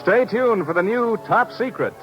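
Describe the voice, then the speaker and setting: A man's voice: high voice, expressive, moderate pace. A man reading scripted credits as a formal radio announcer in the style of the 1950s. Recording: dull old radio recording, loud music.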